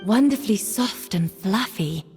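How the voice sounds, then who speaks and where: A woman's voice: mid-range voice, lively intonation, unhurried, a little mellow, lightly acted. A woman playing a refined, exacting food judge in a video game, poised and declarative. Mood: approving. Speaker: contest judge. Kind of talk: video game character acting. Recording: reverberant hall, music underneath.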